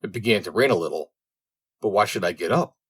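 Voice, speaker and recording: A man's voice: sits low, lively intonation, quick pace, fairly bright tone, breathy edge, exaggerated voice. A man voicing a placid, lazy storybook servant with a shrugging, nonchalant way of talking.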